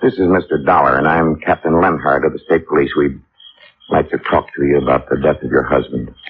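Decent gruff cop captain, real deep, tinny old radio sound. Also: male voice, fairly even intonation, a little fast, a little hiss or hum. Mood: somber, official. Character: gruff but decent male state police captain. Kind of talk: 1950s radio drama acting.

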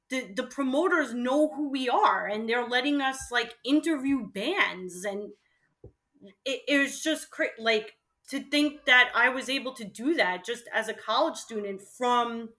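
A middle-aged woman reminiscing casually and emphatically as an interview guest, peppering her sentences with "like". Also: on the high side. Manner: animated, emphatic, peppers speech with "like". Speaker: interview guest, former college radio host.

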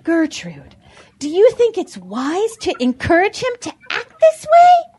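Audio drama farm animal, played by a woman: sensible peacemaker, pleading and pious, extremely high, animated voice. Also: measured pace, slight background noise, heavily acted. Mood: earnest, reasoning. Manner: earnest, pleading, moralizing.

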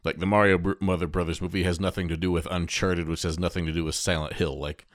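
A man's voice: low voice, level tone, quick pace, no room sound, clean studio sound. A middle-aged man chatting casually as a podcast co-host about things he follows. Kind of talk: casual conversation about movies and games.

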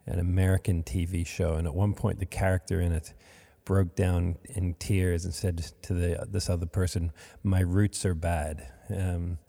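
A man hosting a podcast interview, speaking haltingly with many fillers, recorded in a monastery room. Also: deep voice, little pitch movement, gravelly, warm tone, in a large hall. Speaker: podcast host.

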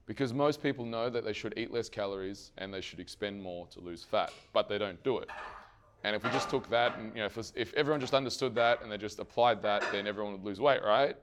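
Hoarse man giving a fitness seminar. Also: lowish voice, quick pace, slight background noise. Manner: energetic, asks the audience questions and answers them himself; swears casually. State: hoarse, losing his voice. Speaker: fitness coach presenting to trainers. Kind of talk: live seminar presentation.

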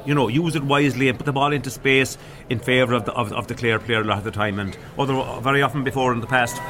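Very fast Irish sports radio presenter. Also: male voice, medium pitch, steady tone, noisy background.

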